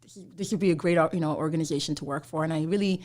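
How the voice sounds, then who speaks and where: A woman from Somalia chats as a podcast guest. Her voice sits low for a woman, and she leans on "you know" and laughs easily. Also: rapid-fire, darkish tone. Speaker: guest, immigration attorney. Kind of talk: casual interview chat.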